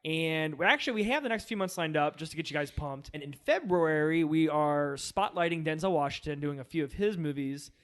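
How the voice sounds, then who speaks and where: A man's voice: fairly high pitch, fairly quick, hardly any pauses, fairly bright tone. A man co-hosting a film podcast in casual, conversational chat. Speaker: podcast co-host.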